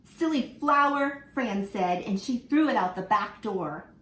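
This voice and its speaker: A woman teacher reading a picture book aloud to children in an animated way, stopping to ask them questions. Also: mid-range voice, a little slow. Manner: animated, asks the listener questions. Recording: echoey room with faint ambience.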